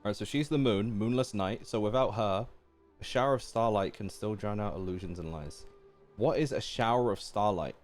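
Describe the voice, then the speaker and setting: Low-voiced man, casual game streamer. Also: normal speed. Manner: chatty, musing aloud. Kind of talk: casual live reaction commentary. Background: faint game music.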